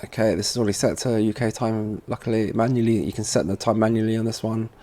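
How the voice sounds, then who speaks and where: Guy doing a casual how-to on his computer, kinda deep relaxed dark voice, talking himself thru it. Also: fast. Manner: informal, thinking aloud while working. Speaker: tutorial presenter for a security products seller. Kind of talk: casual software walkthrough narration.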